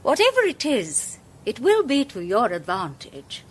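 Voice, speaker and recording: An actress playing a brisk, confident, forward woman playwright in a radio drama, direct and self-assured. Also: on the high side, huge pitch swings, a little hiss or hum. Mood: brisk, insistent.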